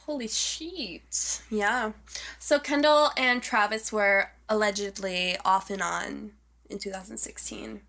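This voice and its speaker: A young woman co-hosting a podcast in a casual, gossipy chat, animated and slangy, swearing freely. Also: mid-range voice, takes it slowly, vocal fry. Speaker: podcast co-host.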